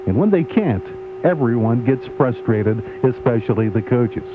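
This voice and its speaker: A man's voice: mid-range voice, animated, moderate pace. Man on tinny radio, sports commentary. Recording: tinny AM radio aircheck, faint hum.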